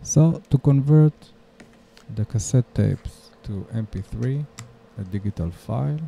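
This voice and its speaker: A man narrating a DIY tutorial in a plain, measured, step-by-step way, like a scripted instructional voice-over. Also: medium pitch, lively intonation, creaky, dark tone, husky.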